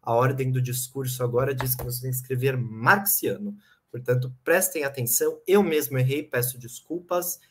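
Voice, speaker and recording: A man in his thirties with a Brazilian Portuguese accent, giving a lively, ironic online lecture on philosophy from a home room with bookshelves, speaking to a desk webcam. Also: medium pitch, moderate pace, breathy edge. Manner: lively, ironic, explains and jokes with the audience. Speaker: philosophy lecturer and channel host.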